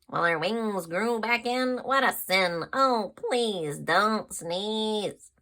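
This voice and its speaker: A woman's voice: mid-range voice, expressive, takes it slowly, sharp tone, heavily acted. A woman voicing a group of worried bees in a storybook, fussy in tone. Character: anxious buzzing bees.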